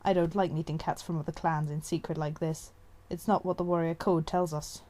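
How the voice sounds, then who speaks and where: Woman as a wary, gruff male cat. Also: deep voice, little pitch movement, a little fast, dark tone, natural voice. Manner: suspicious, disapproving.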